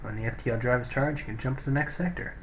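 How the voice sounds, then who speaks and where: A man's voice: mid-range voice, quick pace. Man gaming on a noisy cheap mic. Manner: casual, sweary, thinking out loud. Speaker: let's play host. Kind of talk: casual gameplay commentary with read-out game text. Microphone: low-quality home microphone. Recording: noisy cheap microphone, poor audio.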